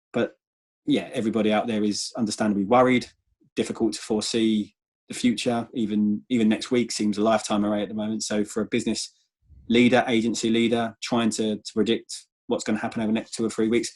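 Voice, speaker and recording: A man speaking as a guest in a conversational business interview, measured and often repeating words as he goes. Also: fairly low pitch, a little mellow, breathy edge. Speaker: interview guest, sales manager.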